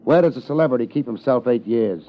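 An American actor playing a laid-back, wisecracking hard-boiled private investigator in a 1950s radio detective drama. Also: male voice, medium pitch, fast talker, lightly acted. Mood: dry, needling. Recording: muffled old 1950s radio recording.

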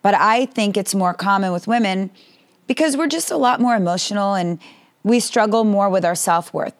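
Woman, slightly raspy voice, podcast host, earnest, speaks straight to listeners. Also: mid-range voice, animated, normal speed. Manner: friendly, earnest, direct address to listeners. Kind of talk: solo podcast talk on family advice.

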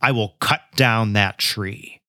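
Man doing a stubborn, gruff lumber boss. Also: lowish voice, slow pace, sharp tone, no echo, studio quality, exaggerated voice. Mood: defiant. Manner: gruff, bellowing, defiant.

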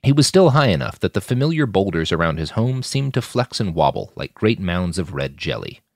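Very deep, expressive male audiobook narrator. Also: brisk, gravelly, no room sound, clean studio sound. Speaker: author narrating fiction.

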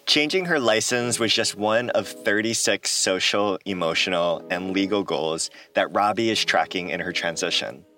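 A man hosting a podcast, reading scripted narration in a clear, measured way. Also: sits low, pushed and bright, soft background music. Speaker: podcast host.

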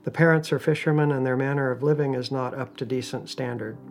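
A man with a mid-pitched, fairly flat voice talks informally about research. He is matter-of-fact and a little halting, as if going through notes. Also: faint music behind. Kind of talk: informal talk, reading out quotes.

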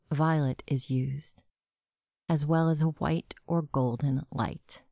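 A woman narrating a guided meditation with gentle, measured instructions. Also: low voice, creaky, no echo, studio quality.

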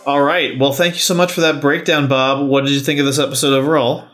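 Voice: mid-range voice, little pitch movement, fast talker, a bit bright, soft background music. A podcast host chatting casually, agreeable, with brief interjections and prompts. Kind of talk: casual chat.

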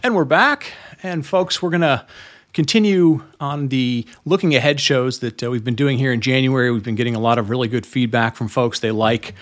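A man's voice: mid-range voice, normal speed, faint music behind. Conversational male podcast host.

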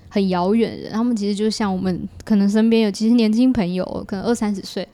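A woman's voice: mid-range voice, steady tone, fast talker, mellow. A woman with a Taiwanese Mandarin accent, explaining things in a casual interview as a podcast guest. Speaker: podcast guest, creator of an audio theatre work.

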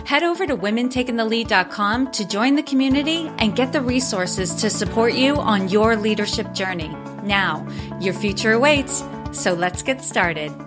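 A woman's voice: fairly high pitch, lively intonation, moderate pace, over music. A woman hosting a podcast, giving upbeat, scripted introductions and then asking questions. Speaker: podcast host.

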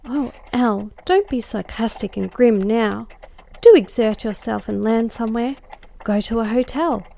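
A woman's voice: on the high side, unhurried, gravelly, dark tone. A woman voicing Em, an anxious and dramatic young woman traveller, in a storybook reading. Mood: pleading.

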